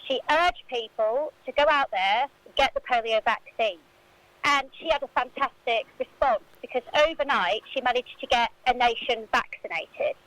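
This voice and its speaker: High-pitched woman, radio interview guest. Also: moderate pace, fairly bright tone, no echo, rough recording. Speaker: football club spokesperson, interview guest.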